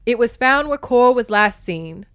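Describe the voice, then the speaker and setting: A woman's voice: on the high side, lively intonation, measured pace, fairly bright tone, smooth, pitched above the performer's own voice. A woman voicing an anguished, defiant goddess mother in an emphatic, dramatic way, as in an audiobook.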